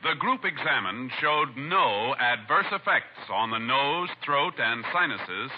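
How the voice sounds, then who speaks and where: A man's voice: medium pitch, animated, slow. A man announcing in formal, emphatic 1950s scripted radio style, on a muffled vintage recording.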